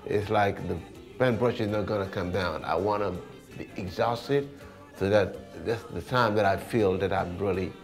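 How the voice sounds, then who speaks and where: A man's voice: on the low side, normal speed, soft background music. A man with a Senegalese accent talking informally in an interview as an artist, often repeating words and phrases. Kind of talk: casual interview talk.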